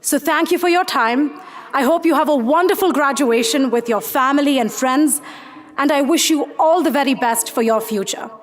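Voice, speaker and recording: High-voiced young woman giving a commencement speech. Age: young adult. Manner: earnest, measured, with rhetorical questions. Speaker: graduating student speaker.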